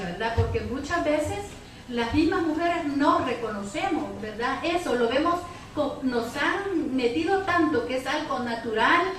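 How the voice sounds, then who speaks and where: A woman's voice: sits high, a bit bright. A woman activist speaking on a public debate panel, conversational and often ending phrases with tag questions. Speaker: women's organization activist, panelist. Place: public debate hall with audience. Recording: very echoey hall, distant mic, faint noise.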